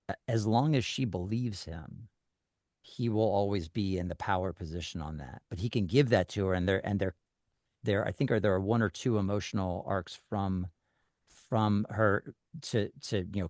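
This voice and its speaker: Guy with a lowish voice giving script notes, restarts a lot, dry sound. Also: no echo. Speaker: script reader giving feedback.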